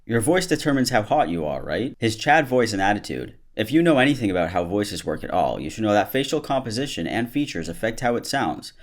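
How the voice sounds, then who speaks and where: A man's voice: lowish voice, big pitch swings, brisk, hardly any pauses. A man hosting a comedic commentary video, reading out online posts and mocking them with sarcastic jokes. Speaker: YouTube commentary host.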